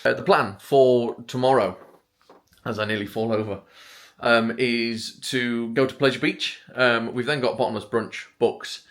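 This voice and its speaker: A man, talking quietly and hesitantly to camera in a casual travel vlog, pausing often with ums, on a clip-on microphone. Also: mid-range voice, steady tone. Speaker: vlogger.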